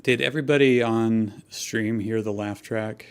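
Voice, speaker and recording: A man's voice: fairly low pitch, steady tone, a little slow, clean tone. A man chatting casually as a panelist on a fanfiction reading show. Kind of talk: casual chat.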